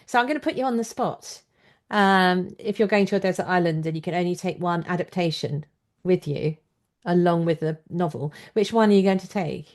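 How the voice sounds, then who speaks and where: A woman's voice: on the low side, brisk. A British woman hosting a conversational interview, talking in a chatty, opinionated and enthusiastic way. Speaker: podcast host and interviewer.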